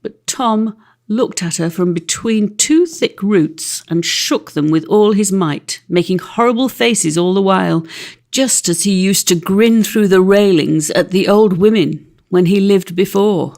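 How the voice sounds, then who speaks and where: A woman's voice: medium pitch, unhurried, a little mellow. A woman reading a classic children's story as an audiobook narrator.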